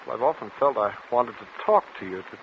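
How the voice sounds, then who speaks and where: A man's voice: medium pitch, animated, fast talker, lightly acted. An actor playing an anxious, guilt-ridden man, hesitant and agonized, in 1940s radio style. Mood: anguished, guilty. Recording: muffled, faintly noisy 1940s radio recording.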